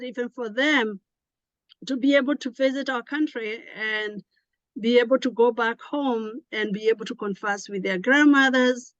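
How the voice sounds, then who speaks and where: Host: woman, slightly high voice, earnest, hesitant, dry muffled call audio. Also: fairly bright tone, no echo. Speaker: event host. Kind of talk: opening remarks at an online session. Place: home in New Jersey.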